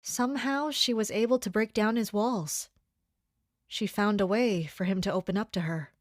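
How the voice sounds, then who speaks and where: A serious, duty-bound young woman of rank in a fantasy kingdom, played by an actress. She speaks in a measured, formal, reserved manner at a medium pitch. The sound is dry and close, as in an audio drama. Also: no echo, lightly acted. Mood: matter-of-fact.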